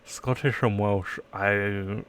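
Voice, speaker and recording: A man's voice: on the low side, fairly even intonation, takes it slowly, fairly bright tone, clear, resonant tone. A man live-streaming a let's play, reading game text aloud and chatting in playful asides. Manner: playful asides, trying out accents.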